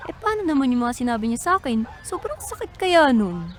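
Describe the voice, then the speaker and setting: A woman's voice: sits high, sing-song, a little fast, slight background noise. A woman with a Filipino accent playing a hurt, stubborn younger sister in a radio drama. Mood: indignant, hurt.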